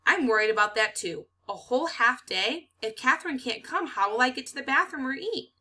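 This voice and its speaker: A woman's voice: sits high, animated, brisk, pushed and bright, voice pushed up, full character voice. A woman voicing an outspoken, cocky schoolboy who loves food, in a storybook reading. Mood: worried, incredulous.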